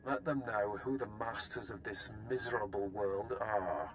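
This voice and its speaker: An actor playing a resolute, ruthless military strategist in a video game, speaking formally and sternly. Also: male voice, lowish voice, moderate pace, fairly bright tone, a little hiss or hum, natural voice. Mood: stern, doubtful. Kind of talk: scripted game dialogue.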